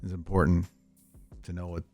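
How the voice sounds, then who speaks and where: A man's voice: deep voice, completely flat delivery, normal speed, vocal fry, a little mellow, dry recording, lo-fi sound, soft background music. A middle-aged man in casual unscripted podcast chat, opinionated and joking, rambling as he goes. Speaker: podcast co-host.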